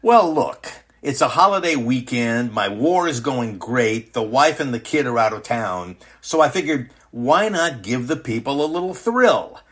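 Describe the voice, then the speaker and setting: A man playing a boastful, petulant self-crowned ruler in a satirical radio drama, pompous, dismissive and quick to interrupt. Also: medium pitch, lively intonation, fairly bright tone.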